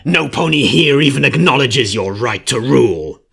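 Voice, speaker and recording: A man's voice: medium pitch, animated, normal speed, vocal fry, fairly bright tone, breathy edge, pitched above the performer's own voice, exaggerated voice. Man voicing a snarling changeling villain. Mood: defiant, gloating. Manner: snarling, spitting, defiant. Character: arrogant, snarling shapeshifting changeling villain, male insectoid creature.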